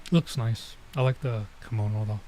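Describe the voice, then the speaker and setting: A man doing casual let's play commentary as a streamer, informal and halting as he reads and mutters through translations. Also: on the low side, warm tone, slight background noise. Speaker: streamer playing a visual novel.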